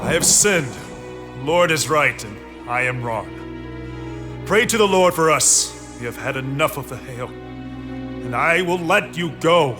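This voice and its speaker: An actor playing an arrogant, mocking, stubborn Egyptian Pharaoh in a dramatized children's Bible story. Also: male voice, fairly high pitch, expressive, slow. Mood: defeated, pleading. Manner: haughty, scoffing. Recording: loud music behind the voice. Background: music.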